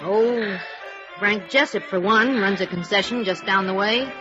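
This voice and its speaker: A woman's voice: medium pitch, a little slow, close to an everyday voice. An actress playing a tired, wisecracking female arcade cashier in a 1950s radio noir drama, streetwise and guarded. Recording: dull vintage radio recording with music.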